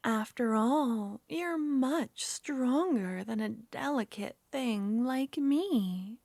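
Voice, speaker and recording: Brief: a woman as a sweetly menacing young witch, breathy close whispers, very slow delivery. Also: a little high, animated, darkish tone, dry recording, heavily acted. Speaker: ASMR roleplay performer. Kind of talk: ASMR roleplay.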